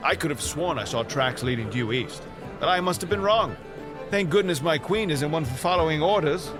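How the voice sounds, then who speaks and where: A man's voice: fairly high pitch, expressive, normal speed, music in the background, close to an everyday voice. An actor playing a hearty, gracious medieval king in an audio drama, with a courtly, declamatory manner. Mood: jovial.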